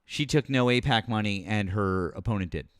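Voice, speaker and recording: Guy hosting a politics stream, lowish smooth voice, lots of ums, dry close sound. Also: dry recording. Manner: halting, many fillers, blunt swearing. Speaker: political talk show host. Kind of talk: casual live-stream talk with callers.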